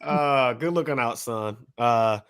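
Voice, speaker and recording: A man's voice: mid-range voice, slow, fairly bright tone, clear, resonant tone, dry recording, clean studio sound. A man co-hosting a podcast in casual, slangy banter, often repeating phrases for emphasis. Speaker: podcast co-host.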